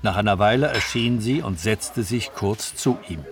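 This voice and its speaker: An actor serving as a measured storyteller, a man narrating a detective novel for a radio play. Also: lowish voice, music in the background, natural voice. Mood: measured storytelling. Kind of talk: scripted literary narration for radio drama.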